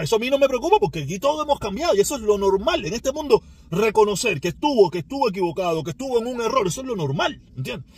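A man's voice: high-pitched, husky. A man from Cuba with a Cuban Spanish accent, giving a rambling, emphatic opinion monologue that circles back and repeats itself. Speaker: commentator.

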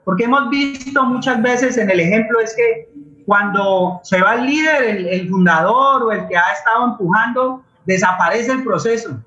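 A Colombian man with a high, forceful voice talks in an earnest, emphatic way, repeating phrases to drive them home. He sounds like a radio forum guest. The recording is dull and roomy. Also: normal speed. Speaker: playwright and community theatre leader, interview guest. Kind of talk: impassioned conversational talk in a radio forum.

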